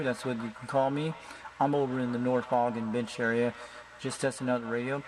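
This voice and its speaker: Man on a noisy recording, chatting casually. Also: medium pitch. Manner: informal, friendly, CB slang. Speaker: amateur CB radio operator. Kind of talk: casual hobbyist chat and radio talk. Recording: clearly noisy home video recording.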